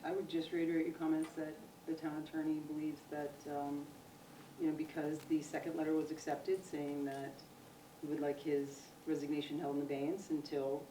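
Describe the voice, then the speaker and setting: A woman with a very low, flat voice answers a resident in measured, formal terms. She is recorded from a distance in an echoey room with clear background noise. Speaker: commission member. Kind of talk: formal reply at a public meeting. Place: town meeting room.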